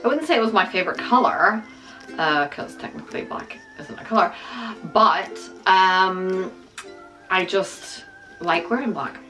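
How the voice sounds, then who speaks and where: A woman's voice: mid-range voice, expressive, measured pace, pushed and bright, faint music behind, rough recording. A middle-aged woman, originally from the United States, with an American accent touched by Scottish, chatting to camera in a self-deprecating way, with a lot of ums. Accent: American, with some Scottish. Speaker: vlogger. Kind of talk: casual talk to camera.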